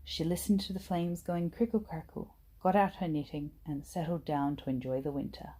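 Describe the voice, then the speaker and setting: Woman reading a kids bedtime story, soft mellow tone, lowish voice, expressive. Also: normal speed, breathy edge, rough recording. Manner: animated, acts out dialogue and sound words. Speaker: storybook reader.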